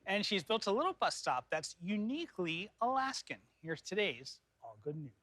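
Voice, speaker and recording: A man's voice: high-pitched, big pitch swings, moderate pace, a bit bright. A man presenting weather and chatting on a local TV news show. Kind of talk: local news forecast and chat. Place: TV studio.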